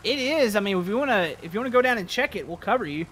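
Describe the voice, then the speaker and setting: A man's voice: sits high, lively intonation, very fast, a bit bright. A man chatting casually with teammates while playing a multiplayer roleplay game. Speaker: gamer in a multiplayer roleplay group. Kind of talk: casual gameplay chat.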